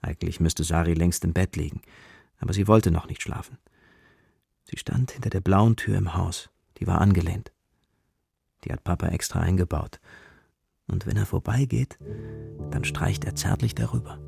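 A man narrating a children's radio play as a scripted story, with a gravelly voice. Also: sits low, moderate pace, long pauses, darkish tone, no echo, clean studio sound. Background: music, plucked guitar.

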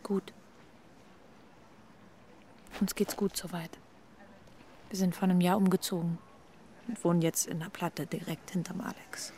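Creaky-voiced, anxious ex-girlfriend. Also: fairly low pitch, steady tone, measured pace, long pauses, warm tone, slight background noise. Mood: guarded. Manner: hesitant, earnest, confessional. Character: East Berlin ex-girlfriend, anxious, guilt-ridden, earnest. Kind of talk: intimate radio drama dialogue.

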